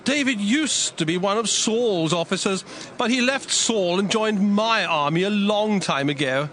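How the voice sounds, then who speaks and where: A man's voice: high-pitched, lively intonation, a little slow, a bit bright. A man playing an earnest, loyal ancient king in a Bible drama, speaking emphatically. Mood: earnest, reassuring. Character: earnest, loyal ancient Philistine king defending his soldier. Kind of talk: dramatized Bible audio acting.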